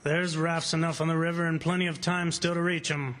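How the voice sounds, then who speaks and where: An actor playing a confident, defiant plantation boss giving commands in a staged radio drama. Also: male voice, on the high side, flat delivery, brisk, crowd noise, lightly acted. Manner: forceful, commanding.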